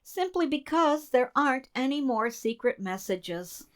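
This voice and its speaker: A woman's voice: high-pitched, expressive, a little slow, a bit bright. A woman in a community radio play, playing an earnest assistant code breaker in a conversational way. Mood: casual. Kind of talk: community theater radio drama dialogue.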